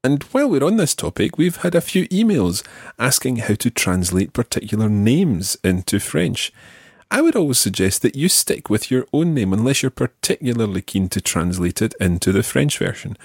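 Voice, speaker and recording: A man hosting a podcast language lesson, explaining patiently and clearly. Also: medium pitch, very animated, moderate pace, no room sound, studio quality. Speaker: language teacher and podcast host.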